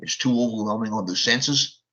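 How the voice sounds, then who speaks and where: A man's voice: fairly low pitch, fairly bright tone. A man explaining his point steadily on a panel discussion. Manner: measured, explanatory. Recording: dull lo-fi audio.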